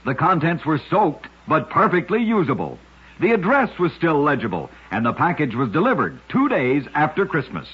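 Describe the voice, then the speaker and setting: A man hosting an old-time radio program, narrating short scripted oddities in a husky, measured, dramatic announcer's delivery. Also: on the high side, big pitch swings. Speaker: radio host and storyteller. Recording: muffled, dull old radio recording.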